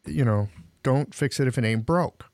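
A man hosting a podcast, a practitioner chatting in a relaxed, folksy way with everyday analogies. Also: medium pitch, normal speed, gravelly, dry recording. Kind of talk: casual professional conversation.

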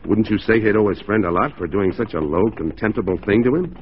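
An actor playing a proud, upright mounted police officer with a firm, plain-spoken manner in a 1940s radio drama. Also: male voice, on the low side, quick pace, lightly acted. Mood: pointed. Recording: muffled, noisy 1940s radio recording.

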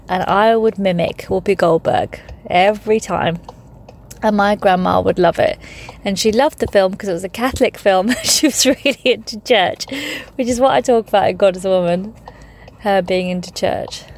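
An excitable, chatty woman in her forties, a touring comic whose first language was Polish, vlogging from her car and a farm shop. Her voice sits at a medium pitch, and clear road and shop noise runs behind her throughout. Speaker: touring comedian. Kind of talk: casual vlog and personal storytelling. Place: in a car and a service station shop.

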